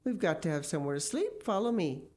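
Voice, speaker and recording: A woman's voice: on the low side, big pitch swings, fast, a little mellow. A woman reading the part of a bossy, eager father in a children's story, commanding and emphatic. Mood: decisive.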